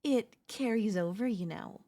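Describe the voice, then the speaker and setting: A woman's voice: mid-range voice, warm tone, natural voice. A woman voicing a chatty, teasing zombie in a comedic audio roleplay, talking casually and playfully straight to the listener. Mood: smug, boastful. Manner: casual, playful, taunting, slangy, drawn-out delivery. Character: chatty, teasing, cocky female zombie hunting a human survivor.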